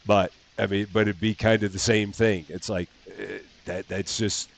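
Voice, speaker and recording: An American man with a fairly low voice hosts a relaxed livestream chat. He rambles, restarts sentences and repeats words. His humor is self-deprecating, and his tone stays easygoing. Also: moderate pace, gravelly. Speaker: channel host.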